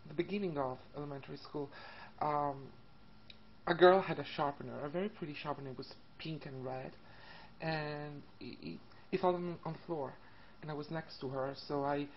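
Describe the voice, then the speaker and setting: A woman of thirty from Italy with an Italian accent, telling a personal story casually to camera in a hesitant, halting way. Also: deep voice. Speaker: vlogger. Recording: muffled lo-fi webcam audio, background noise. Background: classical music and faint room noise.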